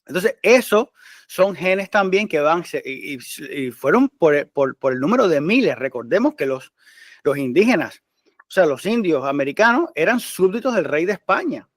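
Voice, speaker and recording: A man explaining history in informal discussion, halting and often rephrasing himself. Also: high-pitched, sing-song, no echo, studio quality.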